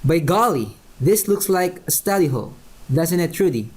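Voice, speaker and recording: A woman's voice: on the low side, animated, moderate pace, a little mellow, husky. A woman playing a breezy, oblivious, talkative teenage neighbor boy in a radio play. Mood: cheery.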